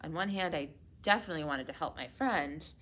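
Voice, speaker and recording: A woman reading a children's storybook aloud in an expressive, measured way. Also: sits low. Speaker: children's read-aloud reader at a public library.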